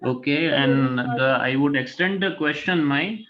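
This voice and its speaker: A man with a Gujarati Indian accent, a native Gujarati speaker, talks to students in a mid-pitched voice. His delivery is an informal, halting lecture with frequent little check-in tags. The sound is dull, lo-fi online call audio. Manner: halting, often checks with "right?" and "fine". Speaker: guest speaker, corporate HR manager. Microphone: online video call.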